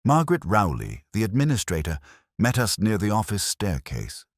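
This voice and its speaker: A man reading a scripted first-person detective story as narrator, in a measured, solemn, literary manner. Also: fairly low pitch, huge pitch swings, no echo, studio quality.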